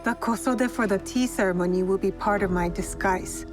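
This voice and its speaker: A woman's voice: mid-range voice, moderate pace, music in the background, lightly acted. A woman playing a composed, determined young undercover woman. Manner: direct, composed, probing. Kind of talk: video game cutscene acting.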